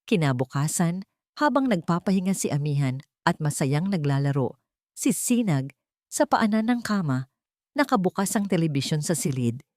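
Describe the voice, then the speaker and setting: Woman, storyteller, scripted narration, deep voice, dry recording. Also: sing-song, normal speed, a little mellow, dry recording. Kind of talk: scripted audio drama narration.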